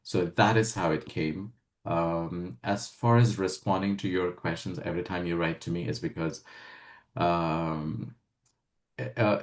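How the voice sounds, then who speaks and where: Deep-voiced man, measured. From India. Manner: measured and emphatic, with frequent "uh" fillers. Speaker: guest, communication skills trainer. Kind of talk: interview answers.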